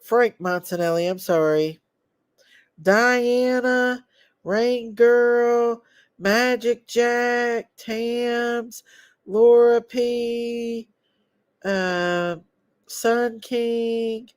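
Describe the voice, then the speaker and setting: Lady stuffed up w a cold, chatting on her livestream, reading names off slow, dry close mic. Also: on the high side, fairly even intonation, smooth, no echo. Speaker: livestream host. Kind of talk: casual livestream chat greeting viewers.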